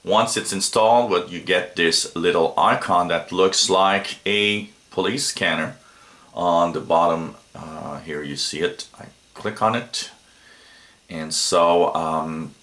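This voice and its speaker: A man with a very deep voice talks through an app demo in a roomy, echoey space. His tone is bright and forward, and his phrasing is casual and stop-start, as an unscripted reviewer. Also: slow. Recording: roomy, echoey home recording.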